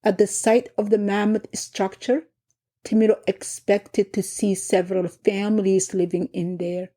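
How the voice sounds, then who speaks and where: Woman, middle aged, telling family stories slow and thoughtful, mellow voice. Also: mid-range voice, level tone. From Somalia. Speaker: podcast host and narrator. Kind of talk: personal memoir storytelling.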